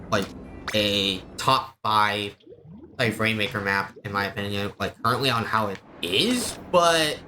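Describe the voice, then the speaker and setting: Man rambling through game commentary, noisy background. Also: mid-range voice. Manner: rambling, opinionated explainer. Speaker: game streamer. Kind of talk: unscripted live game commentary. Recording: clear background noise.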